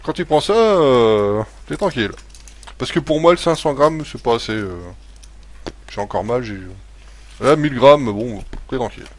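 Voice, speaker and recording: A man's voice: medium pitch, animated, moderate pace. Rambling casual man giving tips. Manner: rambling, hesitant, many fillers and restarts; blunt, swears casually. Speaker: vlogger. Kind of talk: casual home-remedy vlog.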